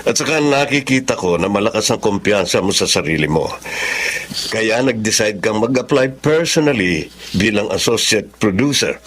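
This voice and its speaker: An actor with a Filipino accent playing a formal, approving company boss in a radio drama. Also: male voice, on the low side, slightly rough.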